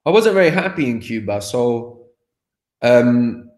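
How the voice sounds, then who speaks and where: A man's voice: mid-range voice, very animated, measured pace, a little mellow. A man in his thirties, a guest on a video call, talking candidly and at length, often laughing and restarting his sentences. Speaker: guest, language course creator. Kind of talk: candid personal conversation. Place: home room by a sofa. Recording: echoey room over video call.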